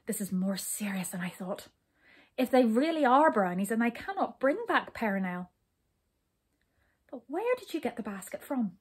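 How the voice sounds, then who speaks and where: A woman's voice: a little high, very animated, moderate pace, husky. A woman voicing an imperious, hot-tempered king in a children's story, stern and blustering. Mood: upset, grave.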